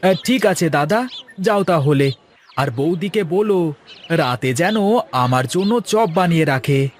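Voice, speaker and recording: A careful, respectful younger brother from a village cartoon, played by a man. His voice sits fairly high, over faint noise of birds and insects. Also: animated, measured pace. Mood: familiar. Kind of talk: Bengali cartoon voice acting.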